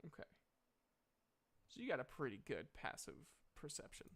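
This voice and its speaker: A man running a tabletop game as game master, narrating deliberately and haltingly and chatting with players. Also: medium pitch, huge pitch swings, a bit bright.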